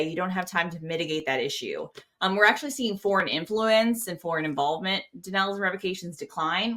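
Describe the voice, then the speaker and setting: Bright-voiced, low-pitched American woman. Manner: animated, colloquial, quotes imagined speakers. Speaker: interview guest, security clearance expert. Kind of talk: informal explanatory interview talk.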